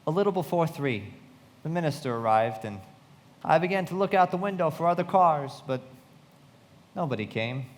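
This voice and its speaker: A man plays an earnest, disillusioned young narrator in a radio drama. His fairly high voice moves animatedly up and down and rings out in a reverberant hall. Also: animated, normal speed, close to an everyday voice. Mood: reflective.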